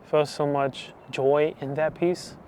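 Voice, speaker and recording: A man in his twenties giving a personal faith testimony, talking softly and hesitantly with many restarts. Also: on the high side, fairly even intonation, slow pace, slight background noise. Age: 20s. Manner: soft, hesitant, full of restarts and fillers. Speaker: channel host giving testimony.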